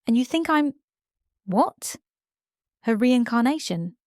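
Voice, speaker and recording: Female narrator as bold, wary woman artist in storybook romance. Questioning, direct, slightly high pitch. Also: expressive, slow pace, darkish tone, no echo, studio quality. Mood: disbelieving. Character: skeptical, bold young woman artist, wary but fascinated.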